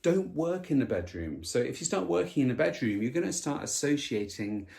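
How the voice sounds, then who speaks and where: A man giving sleep advice in a casual chat, often pausing with fillers and restarting his sentences. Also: mid-range voice, sing-song, creaky, darkish tone. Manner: hesitant, many fillers and restarts. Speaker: co-host.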